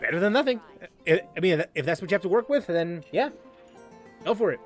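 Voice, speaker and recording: A man hosting a casual gaming livestream, chatting in a halting way and often repeating and restarting his phrases. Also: sits high, animated, faint music behind. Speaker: livestream host, gamer.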